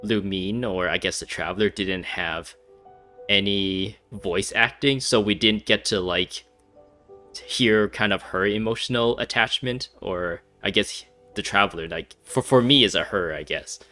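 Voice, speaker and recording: A man with a lowish voice and a bright, forceful edge talks through a video game in a loose, rambling way. He fills his thoughts with "like" and "um" and laughs easily, over faint game music. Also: a little slow. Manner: rambling, many fillers, laughs often. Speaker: gameplay streamer. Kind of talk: casual commentary over gameplay.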